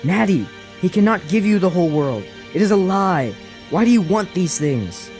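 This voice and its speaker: Man playing an earnest young traveler, high and animated. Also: normal speed, faint music behind. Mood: urgent, pleading. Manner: formal, earnest, urgent. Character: earnest, cautious young male traveler, anxious protector. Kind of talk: fantasy audio drama acting.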